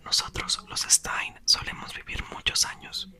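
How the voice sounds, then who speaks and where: A man reading a storybook in a whisper, voicing a steady, reassuring father. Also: measured pace.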